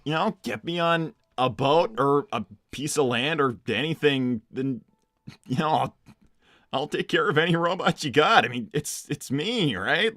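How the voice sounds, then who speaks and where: A man playing a cocky, laid-back, slightly dense young hedgehog hero in improvised live roleplay, talking casually and haltingly with plenty of filler words. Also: on the high side, animated, pushed and bright, dry recording, clean studio sound. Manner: casual, halting, lots of "like" and "you know". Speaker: live stream character performer reading donor messages.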